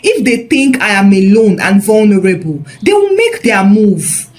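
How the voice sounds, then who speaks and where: A woman's voice: mid-range voice, sing-song, a little slow, darkish tone. A woman voicing a brave but frightened young female student with an earnest, pleading manner. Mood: nervous resolve.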